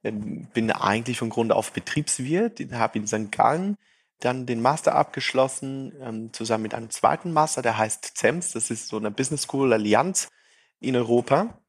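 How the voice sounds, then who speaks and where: A man in his thirties, born in Brazil and raised in Switzerland, speaking with a faint French-tinged accent. His voice is a little husky and sits at a middle pitch. He chats loosely, with plenty of fillers and light self-mockery. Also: expressive, normal speed. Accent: light French-tinged Swiss. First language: Portuguese and French. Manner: chatty, self-deprecating, many fillers. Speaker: startup founder, guest. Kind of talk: casual interview chat.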